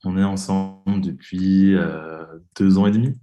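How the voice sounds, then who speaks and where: A man's voice: low-pitched, steady tone, a little slow, highs cut off. A man in his twenties from Belgium, a podcast guest talking casually and hesitantly with many fillers. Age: 20s. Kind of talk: casual interview talk.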